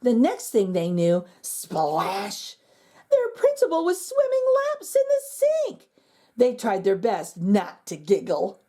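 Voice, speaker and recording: A woman reading a children's picture book aloud in an expressive, sing-song storytelling manner. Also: medium pitch, takes it slowly. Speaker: children's book reader, channel host.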